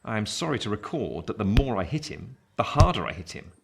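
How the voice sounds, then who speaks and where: An actor playing a grown man recalling his boyhood, narrating a literary radio play in a measured, wry way. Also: on the low side, very animated, vocal fry. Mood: animated, wry. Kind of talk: scripted first-person storybook narration.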